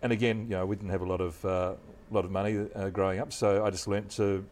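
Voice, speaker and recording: A middle-aged man with a deep voice and a New Zealand accent, chatting as a guest in a relaxed, modest, measured way. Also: a little hiss or hum. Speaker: guest, news presenter. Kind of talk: relaxed interview chat.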